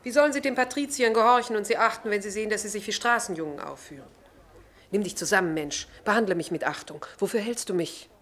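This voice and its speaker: Proud young noblewoman of ancient Rome played by a woman, steadfast believer, mid-pitched, impassioned, articulate classic radio drama delivery. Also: fast talker, a bit bright, slight background noise, lightly acted. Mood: indignant, commanding. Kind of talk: classic German radio play acting, declamatory.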